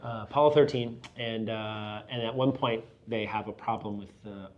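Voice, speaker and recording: Thoughtful middle-aged man, fairly low voice. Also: steady tone, moderate pace, dull audio, slight background noise. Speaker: business and church leader being interviewed. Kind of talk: casual reflective interview talk.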